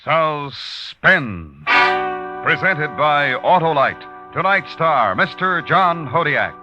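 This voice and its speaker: An American man gives formal scripted show announcements in 1950s radio style. His voice is a little high. It comes from a dull old recording with clear music behind. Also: sing-song, takes it slowly.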